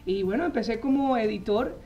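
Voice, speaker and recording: A man's voice: very high-pitched, brisk, vocal fry, dull audio, a little hiss or hum. Man telling stories in a studio. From Colombia. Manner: animated storytelling, frequent "eh" fillers, gestures while talking. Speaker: magazine editor guest. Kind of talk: TV studio interview. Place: TV news studio set. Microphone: lapel mic.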